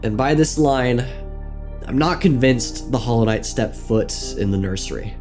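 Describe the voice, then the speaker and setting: Slow, lively male video essayist over music. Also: medium pitch. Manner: theatrical, wry, dramatic pauses. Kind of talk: scripted narration of game lore.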